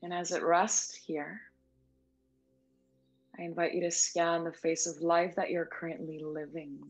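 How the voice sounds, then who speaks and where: Low-pitched woman guiding meditation over music. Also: level tone, breathy edge. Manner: gentle, soothing, unhurried with long pauses. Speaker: yoga nidra meditation instructor. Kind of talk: guided meditation. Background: background music.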